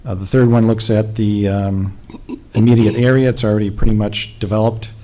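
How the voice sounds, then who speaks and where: Low, flat-voiced city staff man. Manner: measured, procedural, many fillers. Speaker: city planning staff presenter. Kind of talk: reading a staff report at a public meeting. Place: city council chamber.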